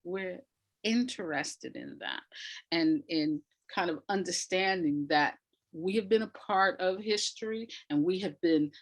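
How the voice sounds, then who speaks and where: A woman speaking as an interview guest, reflective and emphatic, deliberately repeating words to stress her points. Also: on the low side. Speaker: interview guest, audio drama writer.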